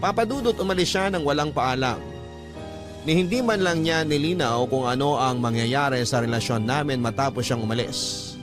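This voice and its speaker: Actor as a sentimental mid-pitched man over guitar music. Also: moderate pace, natural voice. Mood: wistful. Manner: earnest, sentimental. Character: heartfelt male letter sender recounting his lost love. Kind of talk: scripted dramatic first-person narration.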